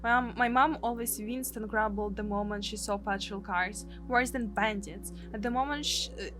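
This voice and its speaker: A woman's voice: medium pitch, moderate pace, fairly bright tone, a little hiss or hum. A woman with a Russian accent, a native Russian speaker, dramatically narrating a visual novel as a streamer. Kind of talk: dramatic narration and live translation of game text.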